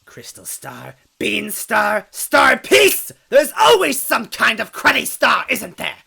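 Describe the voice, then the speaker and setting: A man's voice: very high voice, huge pitch swings, slow, pushed and bright, pitched above the performer's own voice, exaggerated voice. A man voicing a cruel scheming old female bean witch in a storybook reading, sneering and swinging from hisses to screams. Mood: frustrated. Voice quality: raspy, cackling. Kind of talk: storybook reading with character voices.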